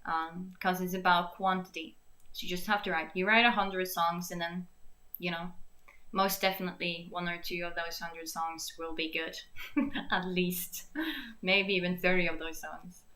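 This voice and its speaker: A woman's voice: lowish voice, flat delivery, normal speed, bright, forceful tone. A young woman from Norway speaking English with a Norwegian accent, chatting casually in a Q&A, laughing often and searching for words. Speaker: singer-songwriter hosting a Q&A. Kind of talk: casual live Q&A chat.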